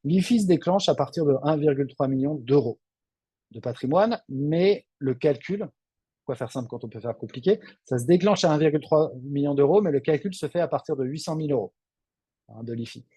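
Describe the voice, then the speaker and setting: A man's voice: a little high, lively intonation, fairly quick, warm tone, slightly rough, no room sound. A man from northern France, hosting a webinar as a financial adviser, reading out questions and answering them in a frank, off-the-cuff, conversational way. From Lille, northern France.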